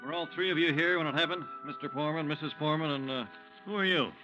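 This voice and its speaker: An officious young police sergeant from a vintage radio crime drama. His voice is fairly high and forceful, and he questions people in a curt, suspicious way. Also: fast talker, dull audio, soft background music. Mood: brisk, suspicious. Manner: curt, interrogating. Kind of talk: 1950s radio drama acting.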